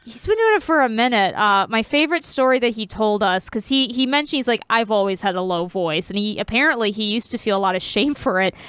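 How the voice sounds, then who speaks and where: A woman's voice: a little high, animated, quick pace, few pauses, fairly bright tone, smooth, dry recording. A woman co-hosting a podcast, talking in a casual, meandering way and telling stories. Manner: chatty, meandering storyteller. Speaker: podcast co-host. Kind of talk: casual chat.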